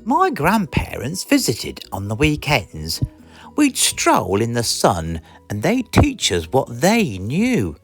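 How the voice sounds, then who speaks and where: A British woman reading a children's storybook aloud in an expressive, theatrical way. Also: extremely low pitch, slow, husky, faint music behind. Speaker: storybook reader. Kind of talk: animated scripted storybook narration.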